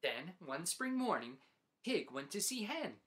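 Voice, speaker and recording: A man in his forties narrating a children's storybook as host, with deliberate, dramatic phrasing. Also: fairly high pitch, very animated, fairly bright tone. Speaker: host reading picture books aloud.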